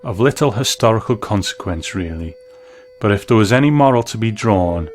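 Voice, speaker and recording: A man's voice: fairly low pitch, lively intonation, normal speed, a little hiss or hum. A man hosting and narrating a scripted commentary on classic television and radio. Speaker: host.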